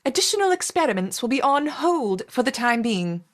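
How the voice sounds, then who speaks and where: Commanding female game supervisor: formal, clipped, impatient, high voice with lively inflection. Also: fairly quick. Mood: stern. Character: brisk, authoritative female project supervisor, coolly impatient. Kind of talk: acted video game dialogue.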